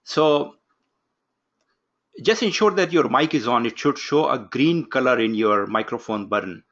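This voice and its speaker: A man's voice: medium pitch, animated, a bit bright. Man teaching online over muffled audio. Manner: brisk, instructive, takes roll call. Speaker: online course instructor. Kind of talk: live online class introduction. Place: home office. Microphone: video call.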